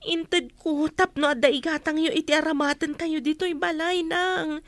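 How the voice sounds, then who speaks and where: A woman's voice: high-pitched, fairly even intonation, moderate pace, fairly bright tone, no room sound. A woman with an Ilocano accent playing a reproachful, exasperated working elder daughter in a comedy radio drama.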